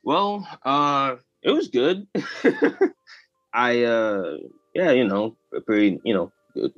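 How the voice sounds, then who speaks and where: A man in his twenties, a guest on a podcast, talking in a relaxed, hesitant way with lots of fillers. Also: mid-range voice, takes it slowly, no echo, muffled recording, soft background music. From United States. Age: 20s. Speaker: animator and guest. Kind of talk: casual interview chat.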